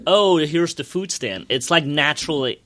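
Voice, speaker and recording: A man's voice: on the high side, animated, normal speed, fairly bright tone, dry recording. A man chatting casually as a podcast co-host, enthusiastically recounting an experience in a rambling way, often repeating his words.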